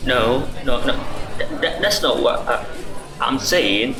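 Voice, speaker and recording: An actor playing a laid-back, blunt, joking office colleague in a radio drama, with casual, plain-spoken delivery. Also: male voice, medium pitch, animated, takes it slowly, a crowd in the background. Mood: intrigued. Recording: echoey room with music behind.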